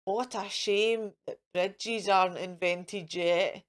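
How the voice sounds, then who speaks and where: Woman playing mean Tyrannosaurus pair, slow war chant. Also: mid-range voice, steady tone, pitched below the performer's own voice, lightly acted. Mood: grumpy muttering.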